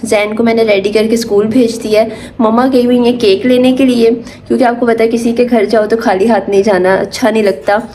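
A woman's voice: a little high, steady tone, a little fast, a little hiss or hum. A young woman vlogging casually to her phone, chatting about her day and mixing in English words. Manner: hushed, half-whispered indoors. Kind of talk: casual vlog chat. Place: home and auto-rickshaw. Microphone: phone held at arm's length.

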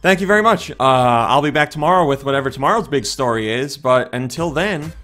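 A man in his thirties with a slightly hoarse voice hosts a casual daily game news show. He talks at a middling pitch in a chatty way, thinking aloud with plenty of fillers and restarts. He speaks close to a studio mic in a small home room, with faint music underneath. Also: expressive, brisk, sharp tone. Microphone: large studio condenser mic in front of face.

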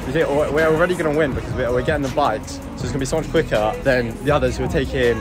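A young man from England, vlogging casually outdoors on city streets into a handheld camera, chatting fast and laughing often. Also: mid-range voice, music in the background. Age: young adult. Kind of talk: casual vlog chat. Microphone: handheld camera at arm's length.

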